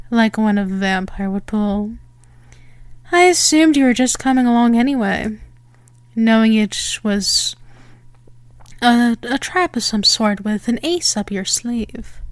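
Actress for possessive female vampire, ASMR audio drama. Lightly high voice, very slow, condescending and teasing delivery. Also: no room sound, lightly acted. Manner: mocking, condescending, drawn-out delivery. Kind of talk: slow ASMR roleplay monologue.

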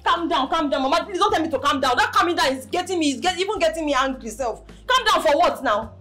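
An actress playing a brash, threatening pregnant young wife in a melodrama, ranting and stammering loudly. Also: female voice, high voice, animated, quick pace, faint music behind. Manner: loud, defiant, dramatic, stammering rants. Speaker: actor. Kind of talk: melodrama acting.

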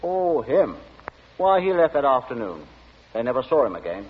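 An actor playing a droll, stubborn, deadpan director in 1940s radio acting style. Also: male voice, medium pitch, moderate pace, slightly rough. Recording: muffled, reverberant 1940 radio recording.

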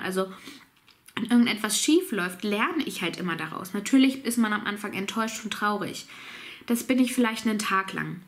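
A woman in her thirties with a cold, chatting casually to camera from a small home office as she answers viewers' questions. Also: a little high. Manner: relaxed, chatty, laughs easily, reads out questions. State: has a cold.

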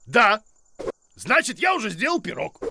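Pompous silly cartoon creature, gravelly, high-pitched, blustering, boastful, muttering. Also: male voice, wildly swooping pitch, normal speed, noisy background, heavily acted. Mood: boastful, excitable. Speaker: main character of children's cartoon. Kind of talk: animated cartoon acting.

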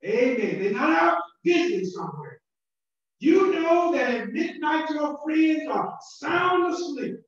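Preaching pastor shouting in an echoey church. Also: male voice, very high voice, very animated, measured pace. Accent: Southern American. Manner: preaching with rising shouted emphasis and repetitions. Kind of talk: sermon. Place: church sanctuary. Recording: distant, very echoey and muffled church recording.

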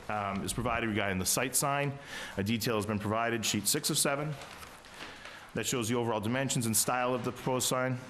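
A middle-aged man, presenting site plans to a town planning board, halting often with uhs and ums, speaking into a table microphone in a meeting room. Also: mid-range voice, a bit bright, a little hiss or hum. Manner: halting, many uhs and ums, reads plan details.

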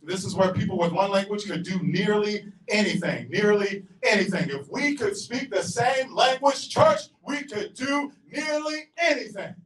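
A middle-aged Southern US pastor delivers a sermon, his high voice jumping from ringing shouts to hushed asides as he paces the stage. He speaks into a handheld mic in a large auditorium, heard from far back with a roomy echo. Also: normal speed, hardly any pauses. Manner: emphatic preaching, swings between shouts and low asides; paces and gestures across the stage while preaching. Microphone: handheld mic, filmed from far back. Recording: echoey hall from far off.

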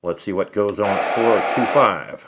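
A middle-aged man giving a casual hands-on repair tutorial at a table indoors, talking slowly and haltingly, half to himself as he works. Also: fairly low pitch, muffled recording, very noisy. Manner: slow, halting, talks to himself while working. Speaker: DIY hobbyist demonstrating a repair.

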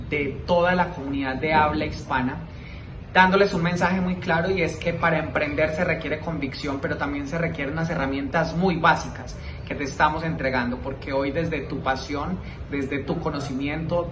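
A man giving an upbeat, emphatic promotional pitch outdoors on a balcony. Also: echoey room, recorded from a distance, noisy background. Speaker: entrepreneur. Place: balcony with city view.

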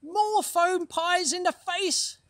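Lady putting on a sulky bald prophet guy, groaning, super slow and draggy. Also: extremely high pitch, noisy background.

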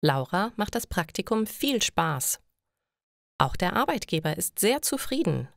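A woman reads a scripted story for language learners, enunciating clearly in a measured way. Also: medium pitch, big pitch swings, no room sound, clean studio sound. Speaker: narrator of German listening lessons.

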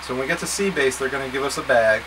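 A man's voice: medium pitch, rapid-fire. A man in his thirties giving step-by-step, casually directive instructions to camera as a trip leader, in a plain-spoken way. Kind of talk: instructional packing talk to camera. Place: small wood-panelled boat cabin, indoors. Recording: steady background hum and noise.

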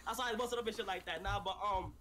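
High-pitched, fast New York young man. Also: a bit bright.

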